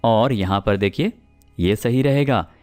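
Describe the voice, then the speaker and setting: A man with a Pakistani accent teaching spoken English, explaining patiently and repeating example sentences slowly. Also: lowish voice, darkish tone, soft background music.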